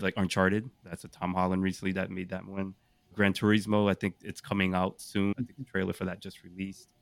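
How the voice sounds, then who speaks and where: A man with a clearly low voice co-hosts a casual group podcast. His sound is dry and close, free of echo. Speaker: podcast co-host.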